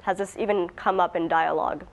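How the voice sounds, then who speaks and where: A woman's voice: on the low side, steady tone, brisk, gravelly. Woman reading scripted news. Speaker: news presenter.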